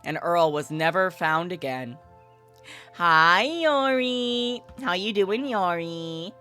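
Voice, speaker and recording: Bright, animated woman streamer over game music. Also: fairly low pitch, slow pace. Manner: playful, slangy, expressive. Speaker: livestreamer. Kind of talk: casual livestream chat and visual novel narration.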